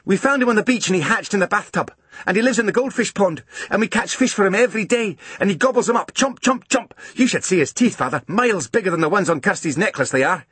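A man voicing an excited young boy who blurts things out in a rush, in a storybook reading. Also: high voice, moderate pace, few pauses, slightly rough, no echo, voice pushed up, heavily acted. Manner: excited, shouting.

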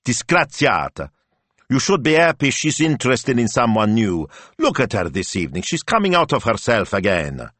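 Storybook matriarch, voiced by a male narrator: Italian grandmother, bossy, exasperated, snappy, lifted and highly animated in pitch. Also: normal speed, dry recording. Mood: snappy scolding. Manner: scolding, snappy, emphatic.

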